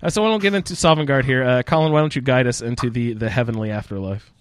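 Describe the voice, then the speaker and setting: A man's voice: mid-range voice, quick pace, clear, resonant tone. A man hosting a podcast roundtable with casual, informal, sweary banter. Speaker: podcast host.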